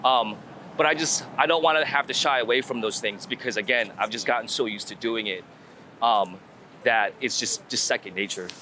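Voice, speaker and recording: Bright-voiced man chatting on the street. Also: medium pitch, moderate pace. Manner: rambling, informal, thinking aloud with repeated phrases. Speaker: guest street photographer. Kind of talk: casual conversational explanation while out shooting. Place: outdoors on a city street. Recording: outdoor city street with traffic noise.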